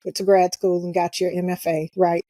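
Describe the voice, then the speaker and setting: A woman's voice: lowish voice, level tone, fairly quick, warm tone, no room sound. A woman hosting a podcast, asking rambling casual questions. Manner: chatty, many "you know" fillers. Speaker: podcast host.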